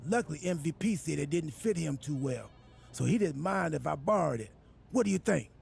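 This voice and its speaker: Video game role: quirky, self-important wrestling boss, husky and heavily gravelly, unbothered and rambling. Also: male voice, a little high, expressive, fairly quick, darkish tone, faint music behind, full character voice. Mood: upbeat, self-important. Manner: rambling, absurdly literal, unbothered by insults. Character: quirky, oblivious wrestling general manager, cheerfully self-important man.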